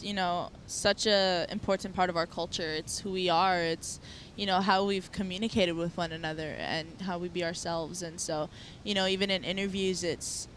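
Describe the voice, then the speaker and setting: A woman's voice: on the low side, a little hiss or hum. A young woman from Hawaii, a native speaker of Hawaiian, answering interview questions humbly, with many fillers. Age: young adult. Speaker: surfer being interviewed. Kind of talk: post-heat interview.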